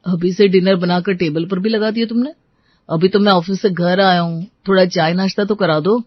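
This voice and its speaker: A woman's voice: on the low side, steady tone, moderate pace, dry recording, lo-fi sound, lightly acted. A woman voicing a complaining, dismissive husband with a protesting, whining tone in a family story. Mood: annoyed, dismissive. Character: complaining, dismissive husband, adult man home from office.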